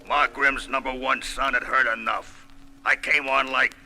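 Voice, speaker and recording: An actor playing a gruff, wisecracking brawler of a superhero on a 1970s record, telling his story in sardonic tough-guy slang. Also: medium pitch, little pitch movement, measured pace, pushed and bright. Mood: wry, boastful.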